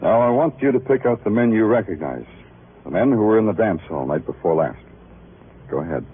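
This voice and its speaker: A man's voice: fairly low pitch, lively intonation, a little fast, slightly rough. An actor playing a weary, sardonic male police detective in a 1950s radio noir drama, clipped and hard-boiled. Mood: cool, businesslike. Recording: muffled old radio recording, music behind.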